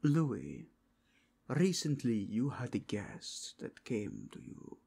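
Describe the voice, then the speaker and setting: A man voicing a solemn, courteous, zealous werewolf inquisitor in a fantasy audio roleplay, speaking in formal, deliberate, archaic phrases with a husky, gravelly voice. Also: medium pitch, very animated, warm tone, full character voice. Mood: measured, courteous. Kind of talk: fantasy ASMR roleplay acting.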